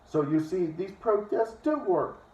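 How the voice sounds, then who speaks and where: A man's voice: sits high, lively intonation, unhurried, a little mellow. A man reading a book aloud and breaking in with opinionated, sometimes profane commentary. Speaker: online reader and commentator. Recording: echoey room, distant dull microphone.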